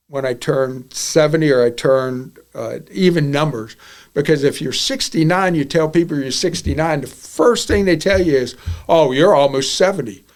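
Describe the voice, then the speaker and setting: A man in his seventies hosting a podcast, talking candidly and bluntly, often repeating himself as he goes. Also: a little high. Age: 70s. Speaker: podcast host. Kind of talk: casual podcast monologue.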